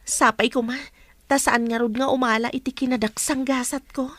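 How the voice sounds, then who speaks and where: A woman's voice: high voice, steady tone, normal speed, a bit bright, no echo. A woman acting a sorrowful unwed new mother with an Ilocano accent in a radio drama.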